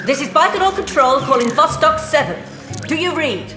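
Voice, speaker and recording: An actress playing an excited woman at Soviet space mission control in an audio drama. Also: high voice, moderate pace. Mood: urgent. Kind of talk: full-cast audio drama trailer. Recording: drama clip under loud music.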